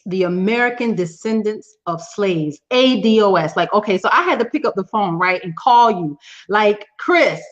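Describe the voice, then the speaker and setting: A woman's voice: mid-range voice, lively intonation, moderate pace. Upbeat woman hosting a live chat. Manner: upbeat, repeats phrases, laughs easily. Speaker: host. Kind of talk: casual live broadcast chat. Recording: muffled lo-fi audio.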